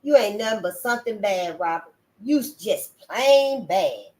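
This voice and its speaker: A woman's voice: mid-range voice, expressive, unhurried, close to an everyday voice. A woman voicing a spiteful young girl who taunts a boy in sassy dialect. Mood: taunting.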